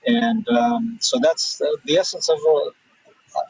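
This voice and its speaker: A husky, slightly high-voiced man from Israel speaks as an interview guest, pausing to find his words and restarting his sentences. He sounds set back from the microphone on a dull, lo-fi remote line. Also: lively intonation, a little mellow, no echo. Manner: thoughtful, pauses with fillers and restarts. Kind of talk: conversational interview answers. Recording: distant, dull remote audio.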